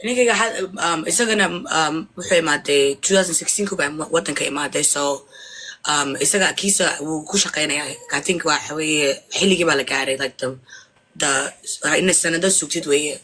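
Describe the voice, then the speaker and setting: A young woman from Somalia speaks in a bright, tense voice. She hesitates often, trailing off and restarting, and swaps between Somali and English mid-sentence in a casual conversation. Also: low-pitched, a little fast, low-quality recording. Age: 20s. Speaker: interviewee.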